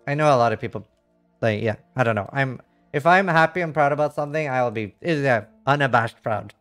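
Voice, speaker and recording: A man's voice: medium pitch, a little fast, a bit bright, faint music behind. A man doing casual live game commentary, reading chat and thinking aloud in a frank, rambling way with some casual swearing. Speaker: livestreamer.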